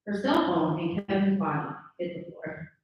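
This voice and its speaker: A woman's voice: lowish voice, moderate pace. A woman reading scripted stage directions as narrator at a live table read. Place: live indoor table read at a mixer event. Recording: slightly dull, very echoey, distant pickup.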